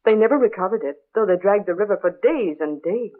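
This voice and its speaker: A woman's voice: mid-range voice, lively intonation, fast, natural voice. Older busybody woman, played by an actress, dramatic and ominous storyteller, 1940s-style radio acting, muffled band-limited recording. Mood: tragic, dramatic. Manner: chatty, exclamatory, ominous storytelling. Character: gossipy, superstitious older female neighbor with rheumatism, dramatic and morbid.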